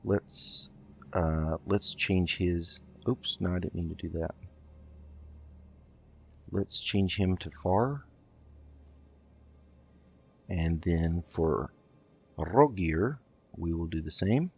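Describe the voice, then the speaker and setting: A man's voice: low-pitched, very slow, creaky, long pauses, husky, dull audio. A man giving casual video game commentary, thinking aloud in a hesitant way as he plays. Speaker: host of a video game roleplay let's play.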